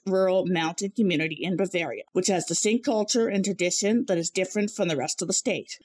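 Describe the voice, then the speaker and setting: A young adult woman, giving a prepared presentation with conversational reflections. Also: on the low side. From Canada. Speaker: presenter, PhD candidate.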